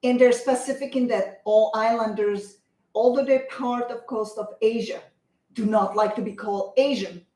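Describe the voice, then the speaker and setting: A woman talks on a show in a forceful, lecturing way, pressing each point home. Her voice sits a little high, and the room adds a noticeable echo. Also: fairly even intonation, moderate pace. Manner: emphatic, lecturing, insistent. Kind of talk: opinionated talk show discussion.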